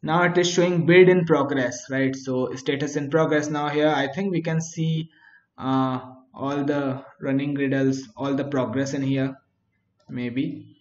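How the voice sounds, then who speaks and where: A man's voice: mid-range voice, steady tone, unhurried, darkish tone. A man teaching a coding tutorial over his screen, talking through each step and often asking "right?" as he thinks aloud. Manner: frequent restarts and fillers while thinking aloud. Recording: echoey, dull home recording.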